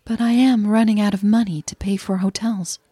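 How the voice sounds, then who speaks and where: Woman voicing a shy, playful girlfriend, higher pitched. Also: steady tone, a little fast, mellow, husky, no room sound, lightly acted. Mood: earnest, uneasy. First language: Dutch.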